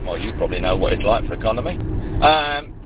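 A man's voice: fairly low pitch, lively intonation, fast. A man on a two-way radio, chatting casually in a rambling, hesitant way with many fillers. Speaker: radio amateur. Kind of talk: casual chat over the air. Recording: tinny radio channel with noise.

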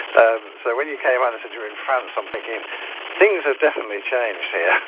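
A man from the English Midlands chatting on amateur radio, measured and hesitant with fillers. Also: mid-range voice. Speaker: ham radio operator. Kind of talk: casual radio chat. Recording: tinny radio link with clear hiss.

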